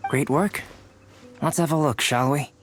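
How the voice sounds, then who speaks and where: An actor voicing a calm, analytical young male alchemist in a video game, measured and polite. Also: on the high side, soft background music, close to an everyday voice. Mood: composed, analytical.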